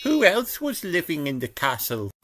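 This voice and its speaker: A man's voice: on the high side, wildly swooping pitch, moderate pace, a bit bright, voice pushed up, exaggerated voice. A man voicing an eager little girl piglet in a read-aloud storybook, with music playing behind. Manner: eager, questioning.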